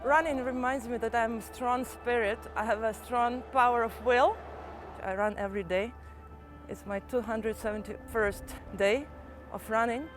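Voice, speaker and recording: Brief: young Ukrainian-accented woman, earnest and measured, slightly high voice, soft music underneath. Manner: calm, earnest and measured, with small restarts. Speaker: motivational teacher. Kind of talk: personal first-person reflection.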